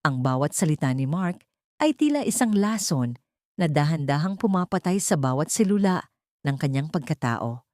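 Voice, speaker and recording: A woman narrating a dramatic audiobook in a steady, somber tone. Her voice is low and mellow, and the close-miked recording is dry. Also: moderate pace, no echo.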